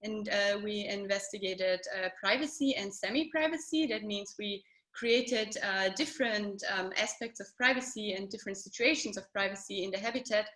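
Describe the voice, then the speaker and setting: A woman from Austria, a space architect giving a clear, explanatory webinar presentation with frequent ums. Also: medium pitch, normal speed, fairly bright tone. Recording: roomy echo.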